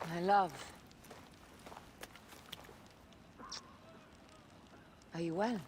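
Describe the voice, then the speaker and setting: An actress playing a resolute, grieving Egyptian wife and mother, solemn and measured, in a dramatic video game. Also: lowish voice, a little mellow, slight background noise, natural voice. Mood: tender, concerned.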